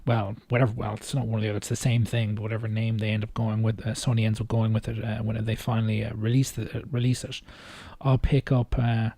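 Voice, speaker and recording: A man giving casual, off-the-cuff video commentary in a hesitant, rambling way with halting phrasing. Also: on the low side, level tone, gravelly, mellow, no room sound. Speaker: video host reacting to game trailers.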